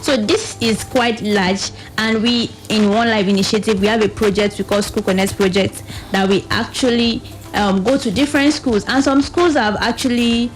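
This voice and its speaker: A woman's voice: fairly high pitch, steady tone, normal speed, faint music behind, low-quality recording. A woman with a Nigerian accent speaking as a guest on a radio panel, explaining earnestly and often voicing other people's questions as she goes. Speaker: guest from a youth reproductive health organisation.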